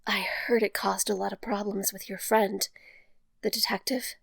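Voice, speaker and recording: A woman playing a grieving, self-doubting young woman in an audio drama, subdued and halting. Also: mid-range voice, fairly even intonation, vocal fry, a bit bright, husky, no echo. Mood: subdued gratitude. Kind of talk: naturalistic audio drama dialogue.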